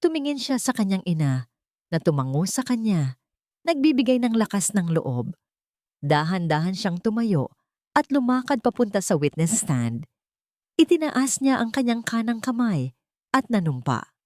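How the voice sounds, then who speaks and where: A woman's voice: medium pitch, very animated, normal speed, pauses often, a little mellow, dry recording, studio quality. A woman with a Filipino accent reading a dramatic story as a scripted narration.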